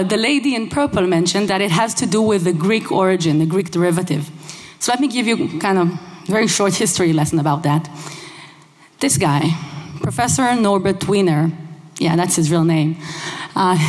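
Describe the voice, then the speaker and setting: A young woman whose first language is Hebrew, giving a lively conference talk on a headset mic in a large hall, engaging the audience with questions. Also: medium pitch. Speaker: conference speaker. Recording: long hall reverb.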